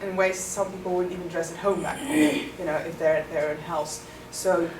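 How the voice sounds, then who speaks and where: A woman's voice: on the low side, fairly even intonation, fairly quick, a little mellow. Young Afrikaans-speaking woman from South Africa, explanatory interview answers, distant mic, noisy. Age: young adult. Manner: thoughtful, explanatory, with many ums. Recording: echoey room, far from the mic, noisy.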